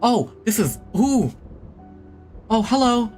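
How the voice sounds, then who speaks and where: A woman streaming a game, giving live, expressive, exclamatory reactions as she plays. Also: fairly high pitch, takes it slowly. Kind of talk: live gameplay reaction commentary. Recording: game music behind the voice.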